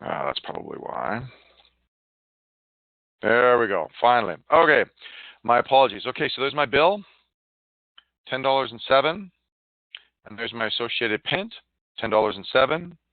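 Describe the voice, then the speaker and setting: A man's voice: medium pitch, animated, normal speed, pauses often, dry recording. Man on a phone-like line, informal presenter. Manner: informal, chatty, muttering to himself while working. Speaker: software demo presenter. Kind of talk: casual live software demonstration. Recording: narrow, phone-like line, dry.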